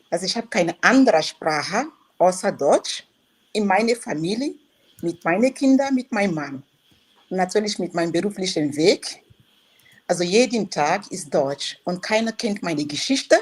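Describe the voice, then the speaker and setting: A woman's voice: fairly low pitch, animated, moderate pace, husky. A woman from Nigeria who lives in Germany, calling in to a talk show and firmly defending her accent, in earnest. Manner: earnest, firm, defending herself. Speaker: caller. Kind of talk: talk-show call-in, speaking English and German.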